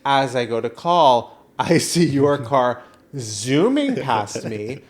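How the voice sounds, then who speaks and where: A man with a mid-pitched voice chats as a podcast co-host. He tells comedic stories in an animated, dramatic manner, voicing people's reactions as he goes. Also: slow pace. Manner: animated, dramatic storyteller who acts out reactions and quotes. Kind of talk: casual comedic storytelling chat.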